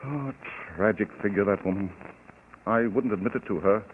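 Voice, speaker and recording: An actor playing an earnest, somewhat flustered doctor in a 1940s radio drama, stammering at times. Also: male voice, fairly low pitch, moderate pace, lightly acted. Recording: old radio broadcast, tinny and hissy.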